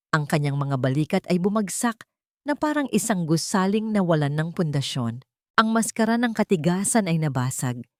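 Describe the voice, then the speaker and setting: Lower-pitched woman narrating a dramatic story. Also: a little mellow, dry recording, studio quality. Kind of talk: dramatic first-person scripted storytelling.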